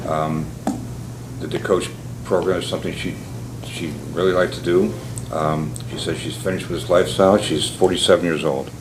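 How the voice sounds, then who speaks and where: A male defense attorney with a deep voice addresses the court. He sounds conversational and a little hesitant. There is clear background noise. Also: fairly even intonation, normal speed. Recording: noisy courtroom recording.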